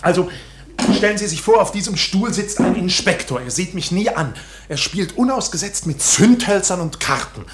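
An anxious clerk who has been arrested, in a German radio drama. His speech is formal and halting, and it swings widely in pitch as agitation breaks through. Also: animated, slight background noise. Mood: troubled. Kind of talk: German radio play acting.

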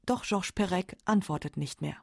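A woman's voice: fairly low pitch, moderate pace, vocal fry, dry recording, studio quality. A woman narrating a scripted radio feature as its narrator.

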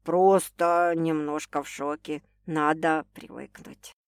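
A woman reader puts on a serious, worried man from a romance audiobook. The voice is lowered and heavy, with slow, halting phrases. The sound is dry and close. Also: dry recording, lightly acted. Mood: soft, tender. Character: gruff, anxious, shocked man in love, expectant father.

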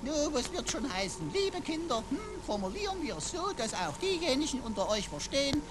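Pompous robot sidekick for sci-fi radio drama: florid, smug, highly animated delivery over clear background noise. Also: male voice. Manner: pompous, florid, mock-learned phrasing. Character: pompous, wisecracking male computer companion, smug show-off. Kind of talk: German radio play acting.

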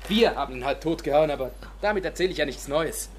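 An actor playing a bitter, defiant young village man, speaking with sarcastic, halting emphasis in a radio drama. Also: fairly high pitch, expressive, background noise, lightly acted.

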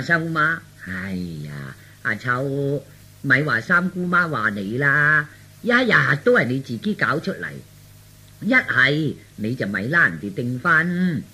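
Man acting a husky, meddling Cantonese aunt. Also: on the high side, bright, forceful tone, exaggerated voice. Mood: scolding. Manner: insistent, colloquial, full of rhetorical tags. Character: meddling middle-aged aunt, earnest, scolding and persuasive. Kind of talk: one-man radio storytelling.

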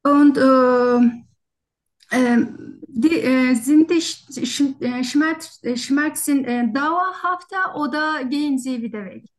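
Hesitant, high-voiced woman with foreign-accented German. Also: takes it slowly. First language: not German. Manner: hesitant, searching for words, formal and polite. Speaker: doctor taking a patient history in exam practice. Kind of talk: halting structured medical interview in role-play.

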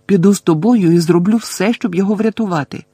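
A man's voice: on the high side, moderate pace, a little mellow, slightly rough, no room sound, studio quality. A man voicing an earnest, anxious teenage girl witch in an audiobook, pleading and stubbornly reasoning. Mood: desperate pleading.

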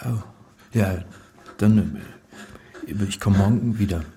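An actor playing an awkward but persistent dandy suitor in a radio drama, with a dark, husky voice and a halting, repetitive delivery. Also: male voice, on the low side. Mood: fumbling. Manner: halting, fumbling, repeats himself.

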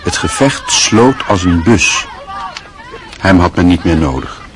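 A man narrating a radio play in a calm, measured storytelling manner. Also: sits low, some chatter behind.

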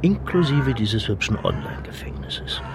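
An actor playing a wry, urbane dissident from the future in a radio drama, gravelly and dryly ironic. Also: male voice, mid-range voice, big pitch swings, normal speed, loud music behind the voice. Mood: dry irony. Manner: dry, ironic. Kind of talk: German radio drama acting. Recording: noisy ambience behind.

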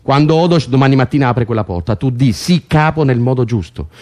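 An actor playing a bossy, coaxing prisoner in a naturalistic radio drama, insistent and teasing, often repeating himself. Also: male voice, medium pitch, lively intonation, fairly quick, darkish tone, natural voice. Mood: warning. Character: bossy, coaxing, excitable male prisoner rehearsing a play.

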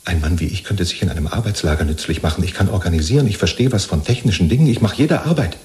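Servile party loyalist, deep voice, rambling, chummy, anxious self-justification, radio play. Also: male voice, lively intonation, quick pace, dark tone. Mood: anxious, hopeful. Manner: rambling, ingratiating, chummy, seeking reassurance. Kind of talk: 1970s German radio play acting.

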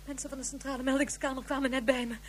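A woman's voice: high-pitched, flat delivery, rapid-fire, slight background noise, lightly acted. An actress playing a frantic, very fat mother seeking her missing baby in a Dutch radio drama.

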